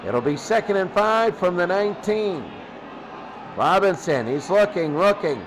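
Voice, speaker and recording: A man's voice: expressive, a little slow. A man calling football play-by-play as a radio announcer, in short clipped phrases that he often repeats. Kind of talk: American football radio commentary. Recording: noisy recording with vehicle-like rumble.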